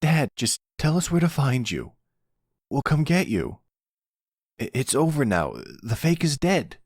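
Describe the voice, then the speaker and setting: Impulsive young son of a gangster, male performer, urgent, raised pitch, slightly rough, audio roleplay. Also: big pitch swings, a little slow, no room sound, studio quality, full character voice. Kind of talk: ASMR audio drama roleplay.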